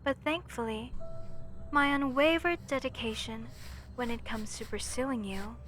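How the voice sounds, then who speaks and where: A woman's voice: a little high, unhurried, fairly bright tone. A woman playing an obsessive, sweetly menacing mortician in a horror roleplay, with sugary, theatrical delivery and formal wording. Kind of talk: scripted horror roleplay monologue to listener.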